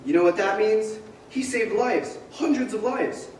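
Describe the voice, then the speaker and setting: A man's voice: high-pitched, unhurried, darkish tone, slight background noise. A man playing an earnest, hot-tempered family man given to frantic outbursts in a radio stage play. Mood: proud, excited. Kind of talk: old-time radio play acting on stage. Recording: distant echoey stage recording with music.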